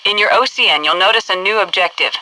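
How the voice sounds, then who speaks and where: Sharp, forceful military HQ instructor woman. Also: mid-range voice, fast, no echo, poor audio. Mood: brisk, instructive. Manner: commanding, clipped, instructional. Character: stern, brisk military headquarters training instructor, adult woman. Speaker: mission control voice giving tutorial orders. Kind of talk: scripted video game military briefing.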